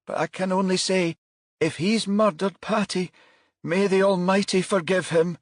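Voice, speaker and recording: A man's voice: sits high, fairly even intonation, measured pace, dry recording, studio quality, voice pushed up. A man voicing a distressed, pious woman witness in an audiobook, grave and pleading.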